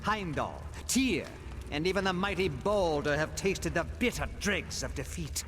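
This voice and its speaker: A man's voice: a little high, lively intonation, measured pace, fairly bright tone, slight background noise. A man playing a gloating trickster god, a pompous and mocking video game villain with grand archaic speech. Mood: gloating glee.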